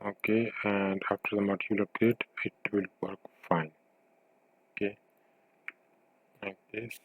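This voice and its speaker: A man narrating an informal software tutorial, thinking aloud with a hesitant delivery as he demonstrates. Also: lowish voice, level tone, measured pace, slightly rough, dry recording, rough recording.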